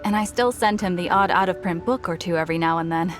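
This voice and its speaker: A woman's voice: lowish voice, fast, natural voice. A woman voicing a matter-of-fact, helpful female bookseller in a video game, composed and frank. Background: music.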